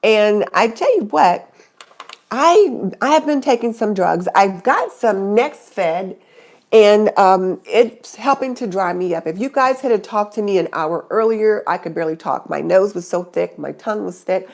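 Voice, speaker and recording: A woman's voice: mid-range voice, lively intonation, measured pace. Chatty, congested woman live-streaming. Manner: chatty, greets viewers by name. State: stuffy nose from a cold. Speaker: YouTube channel host. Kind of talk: casual live stream chat.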